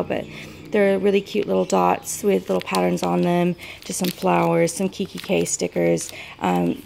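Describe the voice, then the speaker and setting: A low-voiced, very croaky American woman you would hear in a hobby video, meandering through her stationery piece by piece. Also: dark tone, a little hiss or hum. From United States. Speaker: vlogger showing a planner setup. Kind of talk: casual product walk-through.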